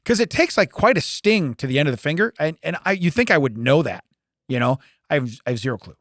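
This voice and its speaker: A man in his late forties, co-hosting a casual podcast chat, animated and laughing often as he acts out little scenes. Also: a little high, fast talker, no room sound, clean studio sound. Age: 40s. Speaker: podcast co-host.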